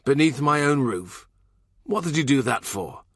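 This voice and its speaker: A man's voice: medium pitch, sing-song, measured pace, slightly rough, no echo, clean studio sound, voice pushed up. A man voicing a shrewd middle-aged royal minister, blunt and wry, in audiobook dialogue. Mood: exasperated.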